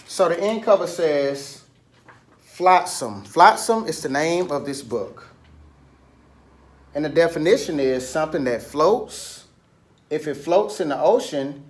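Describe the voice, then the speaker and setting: Man teaching, fairly high-pitched voice. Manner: instructional, asks questions and prompts listeners to repeat. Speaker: children's read-aloud teacher. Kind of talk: picture book read-aloud lesson for children.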